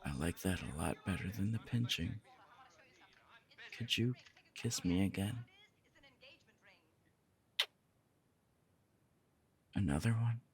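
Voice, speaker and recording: A man playing a lovesick, earnest young punk roommate in an intimate ASMR romance roleplay, speaking in a halting way. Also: lowish voice, a little mellow, slightly rough, exaggerated voice. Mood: tender, pleading.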